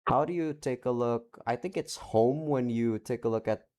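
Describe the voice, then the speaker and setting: A man's voice: medium pitch, normal speed, a little mellow. A young man talking casually to his viewers from his room at home, rambling, cutting himself off and swearing lightly while he searches his game library. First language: not Japanese. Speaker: visual novel let's play creator. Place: home room with several monitors.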